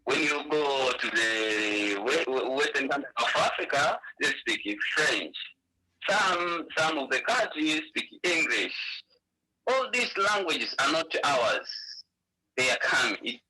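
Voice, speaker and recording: A Tanzanian man, a Swahili speaker with a Tanzanian accent, answering interview questions in a halting way, often repeating words and restarting. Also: mid-range voice, very animated, sharp tone, slightly rough, rough recording. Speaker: interview guest, party representative.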